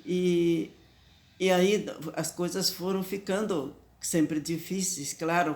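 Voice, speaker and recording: A woman in her late eighties with a Talian-influenced Brazilian accent, whose first language is Talian, telling her memories slowly and reflectively, often restarting words. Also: sits low, slight background noise. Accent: Italian-Brazilian Talian-influenced Portuguese. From southern Brazil. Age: 80s. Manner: slow, reflective, with frequent restarts. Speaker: storyteller. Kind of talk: personal memoir.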